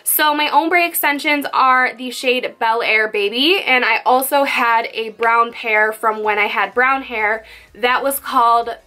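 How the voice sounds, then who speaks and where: Bright-voiced chatty young woman vlogger. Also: fairly high pitch, a little slow. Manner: chatty and candid, rambling asides. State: sick, a little croaky. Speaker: beauty vlogger. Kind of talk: casual makeup favorites chat to camera.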